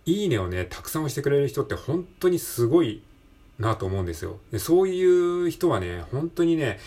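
Male podcast host, rough-edged voice, lively swooping intonation, casual motivational delivery that keeps seeking agreement. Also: mid-range voice, fairly quick. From Japan. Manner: encouraging, conversational, repeatedly seeking agreement. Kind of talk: casual solo motivational talk.

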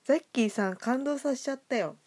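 A woman's voice: high voice, quick pace, a little mellow. A woman hosting a casual chat with a guest, giggly and self-deprecating in the way she talks. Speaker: host and writer of an audio drama show.

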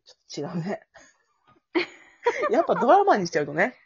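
A woman's voice: medium pitch, moderate pace, slightly rough, dull audio. A woman podcast host chatting casually and playfully, teasing her partner and taking the lead. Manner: teasing, animated, takes the lead.

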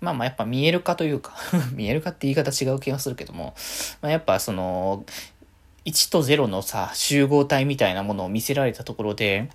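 A man's voice: medium pitch, animated, brisk. A man hosting a solo podcast, giving casual fan commentary and thinking aloud in loose, winding sentences.

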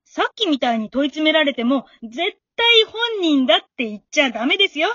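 An amateur actress playing a friendly, encouraging female fan who talks politely and gives gentle advice in a radio drama. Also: high-pitched, lively intonation, a little fast, no room sound, lo-fi sound. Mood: knowing, secretive. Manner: polite, supportive, gently advising.

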